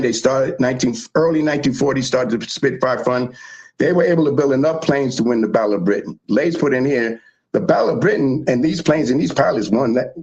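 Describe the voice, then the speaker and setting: A man from the South Bronx, telling history in animated, emphatic casual chat as a show host. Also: mid-range voice, muffled recording. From South Bronx, New York.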